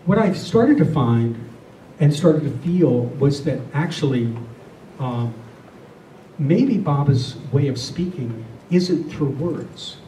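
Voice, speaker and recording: A middle-aged or older man giving a reflective spiritual talk to a group, speaking earnestly and deliberately, often repeating phrases. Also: a little high, animated, warm tone. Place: room with a listening group. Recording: roomy, distant mic, faint noise.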